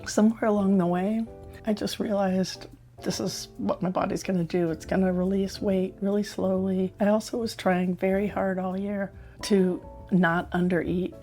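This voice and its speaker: Hoarse middle-aged lady vlogging, mellow low-key voice, soft music under it. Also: flat delivery, moderate pace. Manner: candid, chatty, self-reflective. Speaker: health vlogger. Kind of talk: personal vlog to camera.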